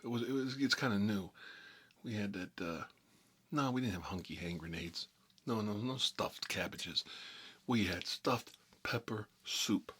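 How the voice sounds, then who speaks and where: A man's voice: lowish voice, fairly bright tone, husky. A man playing a boastful Italian-American mob boss in an improvised ASMR role-play, rambling and muttering between bites of food. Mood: boastful, nostalgic. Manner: rambling, halting, muttering, talking with food in his mouth. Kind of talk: improvised ASMR character role-play, eating while talking.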